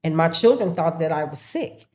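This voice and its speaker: Woman in her sixties, slightly low voice, lively storytelling, laughs a lot. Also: moderate pace. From United States. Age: 60s. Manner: animated storyteller, laughs often, acts out her family's voices. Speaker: podcast guest. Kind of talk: casual chat.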